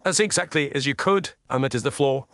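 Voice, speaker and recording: A man puts on an incisive gentleman detective from a storybook mystery. He speaks formally and with cool command, clipping his phrases. The voice is mid-pitched and recorded dry. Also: brisk, dry recording, lightly acted.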